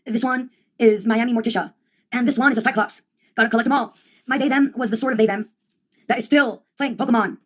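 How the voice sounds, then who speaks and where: A young woman comedian giving a rambling monologue in a deadpan, ironic, slangy way. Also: fairly high pitch, fast talker.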